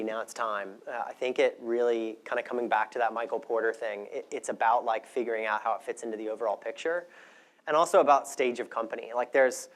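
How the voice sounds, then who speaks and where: Male conference speaker, medium pitch, thoughtful and conversational, explaining ideas to an audience.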